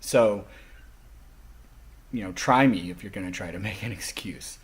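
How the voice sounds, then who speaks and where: Husky man giving a class lecture. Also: low-pitched, unhurried.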